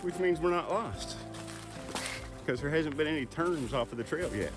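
A man's voice: on the high side, expressive, normal speed, over music. A man in his thirties co-hosting a casual hiking vlog, upbeat and teasing, talking outdoors in winter woods into a handheld phone. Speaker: vlog co-host. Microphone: handheld phone camera.

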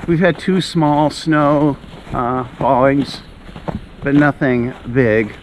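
A man's voice: medium pitch, slow pace. A middle-aged man hosting a casual walking vlog, chatting as he goes along a trail outdoors. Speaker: vlog host on a hike. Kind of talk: casual chat while walking. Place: outdoors on a snowy woodland trail. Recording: noisy outdoor recording, walking on snowy trail.

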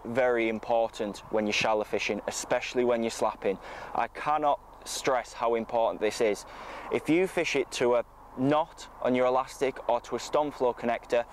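Englishman explaining outdoors, faint ambient noise. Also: medium pitch. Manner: matter-of-fact, explanatory. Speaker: fishing coach presenting a tutorial. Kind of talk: informal instructional talk to camera. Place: outdoors on a lakeside bank.

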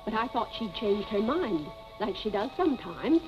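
Elderly woman, righteous housekeeper, dramatic accusations, lively intonation, 1940s film, music behind. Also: medium pitch, moderate pace, dull audio. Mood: righteous, accusing. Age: older. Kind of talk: 1940s film melodrama acting.

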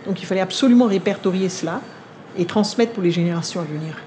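Older woman with Vietnamese as her first language, low mellow voice, unhurried and reflective, interview in a living room. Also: a little hiss or hum. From India. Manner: measured, thoughtful, with frequent pauses and hesitations. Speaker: cookbook author. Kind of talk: sit-down interview.

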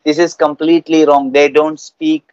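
High-pitched, clear Indian male English trainer. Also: level tone, moderate pace, a little mellow, dry recording, muffled recording. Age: young adult. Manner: repeats phrases for emphasis. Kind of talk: conversational English speaking practice. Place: indoors, on a video call.

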